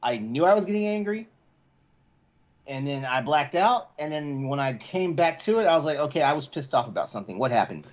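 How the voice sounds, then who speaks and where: A man's voice: on the high side, expressive, brisk. A man co-hosting a podcast in casual, candid chat, rambling and repeating his words as he goes, with salty language. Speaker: podcast co-host. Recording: slightly dull, lo-fi voice track.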